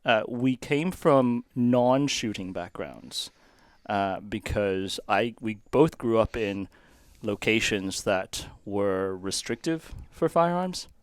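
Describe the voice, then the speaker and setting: A man from Hong Kong, a guest on a podcast, talking casually and thoughtfully with pauses. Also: fairly low pitch, lively intonation, clear, resonant tone, no room sound. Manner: thoughtful, many fillers and restarts. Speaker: guest, YouTube channel co-host. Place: dark studio set with leather chairs.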